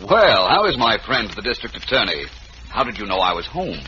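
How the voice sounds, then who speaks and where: An actor playing a suave, wry private detective in a 1940s-style radio mystery, polished and drily witty. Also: male voice, lowish voice, very animated, brisk, pushed and bright. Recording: muffled, hissy old radio recording.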